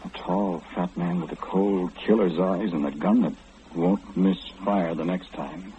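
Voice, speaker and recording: Sinister all-knowing confidant, very deep voice, insinuating, deliberate pauses, radio horror monologue, tinny vintage recording. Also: male voice, steady tone, warm tone, slight background noise. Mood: ominous, insinuating. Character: sinister all-knowing man whispering dread warnings to listener.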